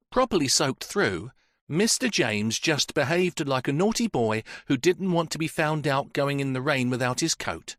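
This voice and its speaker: A man's voice: fairly high pitch, a bit bright, dry recording, clean studio sound, pitched above the performer's own voice. A man voicing an elderly, chatty woman housekeeper who gossips freely about the family she works for. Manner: gossipy, talkative.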